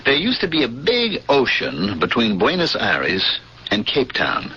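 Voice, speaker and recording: Scared ex-smuggler pilot, lively pitch, bright edge, halting, dull old radio sound. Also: male voice, vocal fry, slight background noise, full character voice. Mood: fearful. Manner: tense, insistent, halting under fear. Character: frightened ex-smuggler space pilot, male. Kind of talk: 1970s radio drama acting with narration. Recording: dull 1970s radio broadcast.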